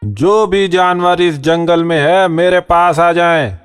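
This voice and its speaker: Woman putting on a gruff bully donkey, Michael. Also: fairly low pitch, slow, in a large hall, soft background music, pitched below the performer's own voice. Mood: commanding. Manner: gruff, bossy, threatening. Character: bullying male donkey, boastful and rude.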